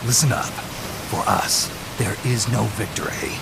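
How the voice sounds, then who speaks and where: An actor playing a gruff, battle-worn soldier commander with a gravelly voice and a terse, stern delivery in a game cutscene. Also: male voice, lowish voice, animated, unhurried, very noisy. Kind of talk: dramatic video game cutscene acting.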